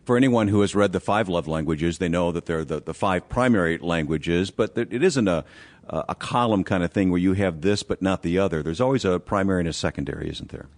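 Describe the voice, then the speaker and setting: A man's voice: sits low, fairly quick. A man interviewing a guest, speaking conversationally and putting leading questions to him.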